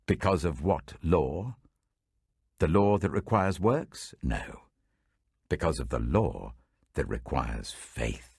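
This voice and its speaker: A man giving a measured, dramatic reading of Bible passages in a close studio recording. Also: gravelly, breathy edge, no room sound. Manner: measured, dramatic, lively pitch shifts. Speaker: Bible reader. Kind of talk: expressive scripture reading.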